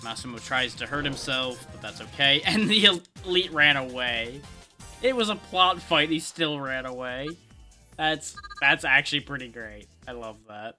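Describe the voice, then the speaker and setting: A man's voice: medium pitch, expressive, normal speed, pushed and bright. A man giving casual, wry gameplay commentary as a streamer, in an offhand way. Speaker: game streamer. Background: game music.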